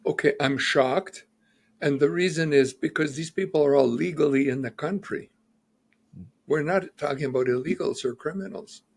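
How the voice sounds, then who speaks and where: Husky, gravelly male immigration lawyer. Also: a little high, moderate pace. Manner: methodical, cites figures and reports. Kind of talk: explaining legal news in an interview.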